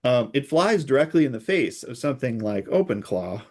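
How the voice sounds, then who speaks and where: A man's voice: medium pitch, sing-song, normal speed, a little mellow. A man speaking as an expert guest in an interview, explaining technical concepts through hypothetical examples. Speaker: expert guest, security researcher.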